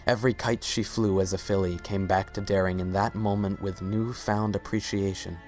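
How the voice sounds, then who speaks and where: A man's voice: low-pitched, little pitch movement, normal speed, darkish tone, faint music behind. A man narrating a scripted story as a dramatic reading.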